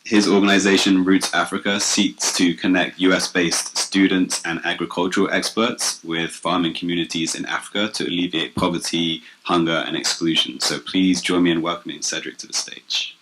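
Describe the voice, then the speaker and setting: A man emceeing an event, introducing speakers in an upbeat, brisk way. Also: low-pitched, steady tone, background noise.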